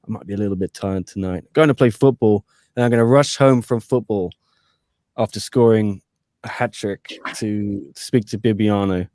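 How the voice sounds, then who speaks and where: A man's voice: lowish voice, moderate pace, mellow, dry recording. A man co-hosting a post-fight podcast as a sports reporter, chatting casually with jokey asides to listeners.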